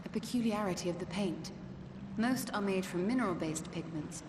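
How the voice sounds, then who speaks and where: A woman playing a calm, formal, scholarly woman in a fantasy video game, speaking in a measured, grave way. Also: medium pitch, roomy sound, in a large hall, background noise, lightly acted. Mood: grave, thoughtful. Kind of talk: dramatic video game cutscene acting.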